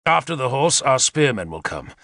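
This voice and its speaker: A man's voice: medium pitch, very animated, moderate pace, fairly bright tone, no echo, clean studio sound, voice pushed up. A man voicing a confident male war leader who eagerly lays out battle plans, in a decisive, commanding manner. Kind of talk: audiobook character reading.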